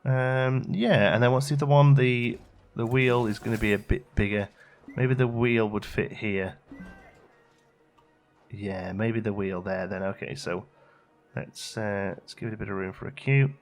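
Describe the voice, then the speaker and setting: A man giving casual, low-key gameplay commentary as a video host, thinking aloud and muttering to himself as he works. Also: fairly even intonation, unhurried, soft background music. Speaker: let's play video host.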